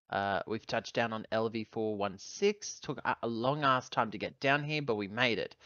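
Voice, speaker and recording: Guy at a game table, casual chat, bright mid-range voice, dry lo-fi mic. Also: medium pitch, no echo.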